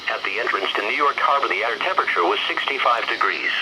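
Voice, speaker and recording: A man's synthetic announcer voice at a middle pitch reads a scripted weather bulletin through heavy radio noise. The delivery is measured and flat, built from short, separate phrases. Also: creaky. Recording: very noisy weather radio broadcast.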